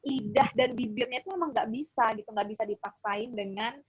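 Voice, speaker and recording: A woman with a husky voice, teaching informally as a religious teacher and often checking that she is followed. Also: fairly high pitch, fairly quick. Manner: conversational, often checking for agreement. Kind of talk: informal explanatory online lesson. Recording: dull lo-fi audio.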